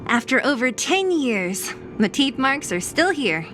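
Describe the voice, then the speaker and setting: A woman playing a cheerful, scrappy young woman in a game cutscene, with a playful, breezy and expressive delivery. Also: high-pitched, measured pace, a little hiss or hum, exaggerated voice. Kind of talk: English-dubbed game cutscene acting.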